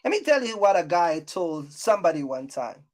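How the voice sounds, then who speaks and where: A woman's voice: fairly low pitch, sing-song, moderate pace. A woman hosting a casual, unscripted advice vlog, speaking bluntly and repeating points for emphasis in a scolding way. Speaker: YouTube host giving trucking business advice. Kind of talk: casual unscripted rant to viewers.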